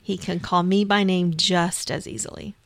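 A woman's voice: fairly low pitch, flat delivery, normal speed, creaky, a bit bright, dry recording. Woman co-hosting faith radio, dry sound. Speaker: radio show co-host. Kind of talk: conversational Bible discussion on radio.

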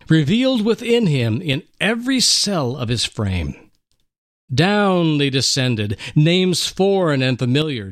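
Man, middle-aged, dramatic storytelling narration, slow and solemn, fairly high voice, soft music behind. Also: no echo. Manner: slow and solemn, drops low at line ends. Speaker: radio host reading a dramatic story.

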